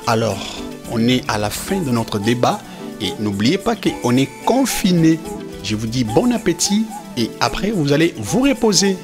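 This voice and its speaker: A man's voice: mid-range voice, very animated, normal speed, over music, lightly acted. A man playing a devout, proud, affectionate father who teaches his children, effusive and encouraging, repeating phrases for emphasis. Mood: proud, joyful.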